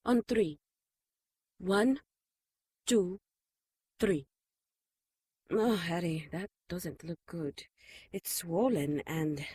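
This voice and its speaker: Caring, earnest nun, audio drama, slightly husky female voice, dry close sound. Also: lowish voice, very slow, pauses often, mellow, dry recording, exaggerated voice. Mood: soothing concern. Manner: soothing, earnest, exasperated at times.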